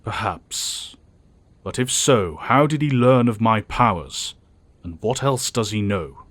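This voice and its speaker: A man playing a wise, grave older professor who speaks in a measured, formal way in an audio drama. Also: lowish voice, animated, fairly bright tone, no room sound, full character voice. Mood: calm, pensive.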